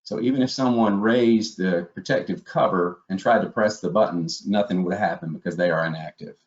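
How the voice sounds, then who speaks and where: A man's voice: low voice, a little fast. A man giving a methodical, step-by-step instructional presentation as a webinar trainer. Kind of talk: instructional slide presentation narration. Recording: dull, lo-fi webinar audio.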